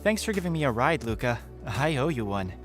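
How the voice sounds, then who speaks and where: A man's voice: mid-range voice, very animated, fairly quick, soft background music, lightly acted. A man playing a polite teenage boy who downplays his loneliness in an audio drama. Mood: friendly, resigned. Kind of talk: fan audio drama acting.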